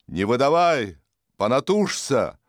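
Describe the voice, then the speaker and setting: A man's voice: medium pitch, big pitch swings, slow pace, dry recording, clean studio sound, pitched above the performer's own voice. Man acting an urgent old artilleryman calling out. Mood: urging on. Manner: calling out urgently across a ship's deck. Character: old artilleryman father, loud, urgent, alarmed. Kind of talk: audiobook character acting.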